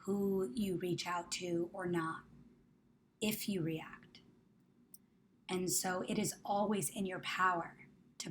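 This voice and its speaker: A woman leading a guided meditation, speaking soothingly and in measured phrases that trail off into a near-whisper. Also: fairly low pitch. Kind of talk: guided meditation, spoken to the listener. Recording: clear background ambient noise.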